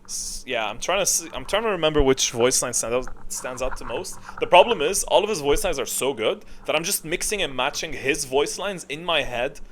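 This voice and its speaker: A man giving casual, off-the-cuff ranking commentary in an informal, opinionated way, often halting to think aloud. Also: fairly high pitch, animated, fairly bright tone. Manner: opinionated, informal, mildly profane. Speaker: video creator giving a tier list. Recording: clearly audible background noise.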